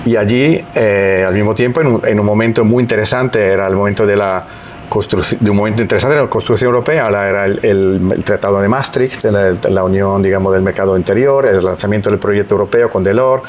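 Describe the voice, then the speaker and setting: A man's voice: on the low side, a little fast, a little hiss or hum. A middle-aged man from Italy's Veneto, speaking Spanish with an Italian accent as a podcast guest, reflective and hesitant with many restarts. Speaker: guest, EU civil servant. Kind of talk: podcast interview.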